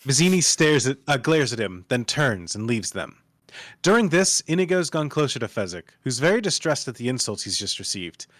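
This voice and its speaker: A man's voice: medium pitch, sing-song, moderate pace, a bit bright, no room sound. A man reading screenplay stage directions aloud as the narrator of a table read.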